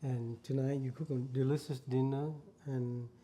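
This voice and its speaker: A man's voice: medium pitch, flat delivery, normal speed, warm tone, a little hiss or hum. A man in his fifties from Vietnam, a Vietnamese accent, earnestly telling his life story as a guest. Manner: earnest, repeats phrases while recalling. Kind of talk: casual conversation.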